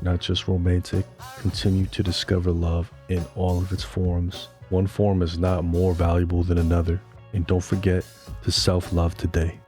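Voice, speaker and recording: A very low-voiced man speaks in loose, slangy reaction-video chat, swearing now and then. His voice is worn and hoarse from a long session of talking, and faint music plays behind him. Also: darkish tone. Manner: slangy, laid-back, swears casually. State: hoarse, tired voice. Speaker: music producer reacting to an album. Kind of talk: casual reaction commentary.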